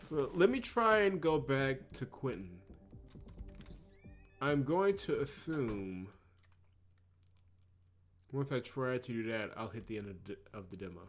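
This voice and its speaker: A man's voice: mid-range voice, lively intonation, a little slow, long pauses. A man giving casual commentary on a video game playthrough, reading along as he goes. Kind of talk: casual commentary, reading visual novel dialogue aloud. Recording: game music behind the voice. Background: game music.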